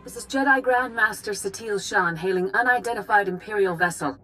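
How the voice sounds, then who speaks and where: An actress playing a calm, authoritative Jedi grand master in a video game, grave and formal. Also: female voice, mid-range voice, fairly quick, soft background music, lightly acted, poor audio. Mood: diplomatic, firm.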